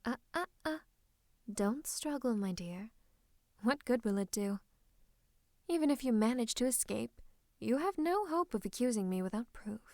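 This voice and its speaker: Woman acting a silky, high-pitched villainous royal advisor. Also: animated, normal speed, pauses often, a little mellow, dry recording, clean studio sound. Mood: coolly threatening. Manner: smug, mock-courteous, menacing, dropping into whispers. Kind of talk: ASMR roleplay, theatrical monologue to the listener.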